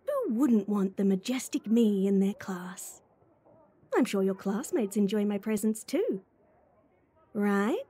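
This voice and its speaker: A woman's voice: mid-range voice, very animated, slow, dark tone, husky, full character voice. A woman voice actor playing a playful, teasing, clingy schoolgirl childhood friend in a chatty ASMR roleplay.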